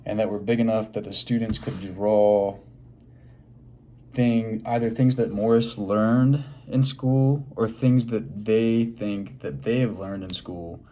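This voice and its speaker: A man reading a children's story aloud expressively, pausing to put questions to his listeners. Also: on the low side, steady tone, warm tone, slight background noise.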